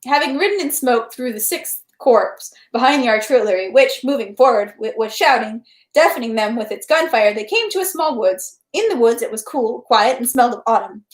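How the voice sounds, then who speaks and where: A woman reading a novel aloud as narration, in an animated, expressive way. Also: on the high side, moderate pace, few pauses. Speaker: read-aloud host. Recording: echoey room.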